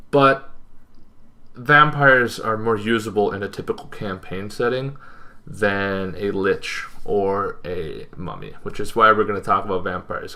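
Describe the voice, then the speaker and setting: A young man hosting an informal explainer to camera, speaking emphatically with halting, dramatic pauses. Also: on the low side, bright, forceful tone. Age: young adult. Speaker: host of a tabletop gaming series. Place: bedroom, indoors.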